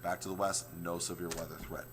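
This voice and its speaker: A male meteorologist, hoarse and struggling with his voice, walking viewers through the morning forecast. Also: low-pitched, flat delivery, a little fast, background noise. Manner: explains maps step by step, repeats points.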